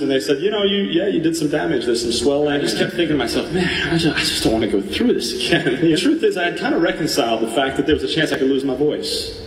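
Singer guy giving a heartfelt talk onstage, fast, echoey hall, sounds far off the mic. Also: mid-range voice, slight background noise. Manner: earnest, heartfelt. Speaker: singer addressing a crowd. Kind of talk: speech from a stage.

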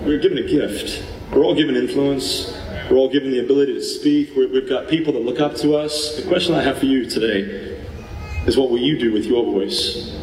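A man's voice: medium pitch, a little fast, a little mellow, a little hiss or hum. A man who is a singer gives an earnest, heartfelt speech to a crowd from a stage. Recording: echoey hall, far from the mic.

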